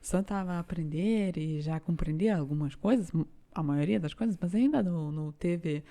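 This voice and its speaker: A woman's voice: lowish voice, normal speed, warm tone. A Lithuanian-born woman, co-hosting a podcast and telling stories in a casual, hesitant way as she searches for words. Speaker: podcast co-host. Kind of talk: casual conversational storytelling.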